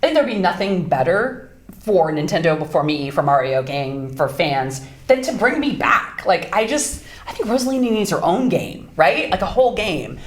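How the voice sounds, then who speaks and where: A woman with a deep, low-pitched voice talks as an interview guest, lively and upbeat with quick asides. Her voice rings in a roomy, echoey space. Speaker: voice actress guest. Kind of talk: casual interview chat. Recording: roomy echo.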